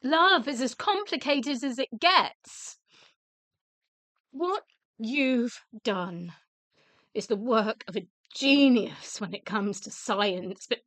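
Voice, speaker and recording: Sad tired widow for radio drama, slow halting delivery, high voice. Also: female voice, sharp tone, dry recording, exaggerated voice. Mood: weary, sad. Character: weary, emotional widow of a dead scientist. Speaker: actor in a radio play.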